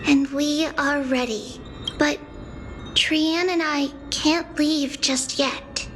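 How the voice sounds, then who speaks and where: An actress voicing a calm, earnest twin sister in a game, speaking gently and steadily over game music. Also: female voice, sits high, slow, slight background noise. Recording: game soundtrack underneath.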